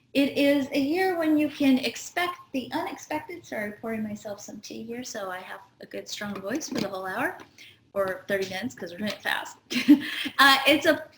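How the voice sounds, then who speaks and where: Middle-aged woman host, high voice, earnest teacherly delivery, roomy echo. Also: fairly bright tone, a little hiss or hum. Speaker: show host. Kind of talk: spiritual astrology talk.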